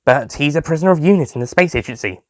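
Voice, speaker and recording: A man voicing a brisk, bossy female space agent in an audio story. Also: a little high, lively intonation, dry recording, studio quality, pitched above the performer's own voice, close to an everyday voice. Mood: flustered.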